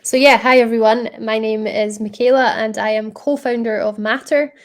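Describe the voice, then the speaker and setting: A Scottish woman, a startup co-founder, giving an upbeat, chatty pitch presentation over a video call, with frequent ums. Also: fairly even intonation, moderate pace. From Scotland.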